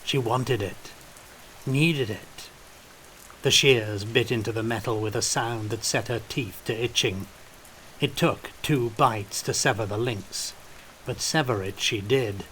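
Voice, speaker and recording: A man's voice: mid-range voice, fairly bright tone, a little hiss or hum, poor audio. A man reading scripted fiction as an audiobook narrator, in a storytelling style.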